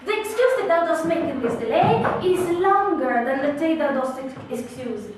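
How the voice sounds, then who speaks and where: A woman's voice: sits high, animated, normal speed. A young woman acting an eager girl in love who impatiently begs for news, in an amateur stage performance. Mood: pleading. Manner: pleading, insistent, rising with impatience. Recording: echoey, distant, noisy room.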